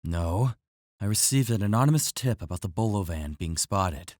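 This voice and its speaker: An actor playing a grave, courteous male superhero with steady authority in an audio drama. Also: fairly low pitch, normal speed, no room sound, close to an everyday voice.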